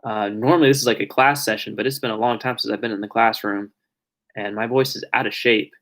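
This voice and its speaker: A man's voice: on the low side, steady tone, brisk. Rambling young man on a live stream. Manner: rambling, self-correcting, trailing off quietly. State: voice getting raspy from long talking. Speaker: live streamer answering viewer questions.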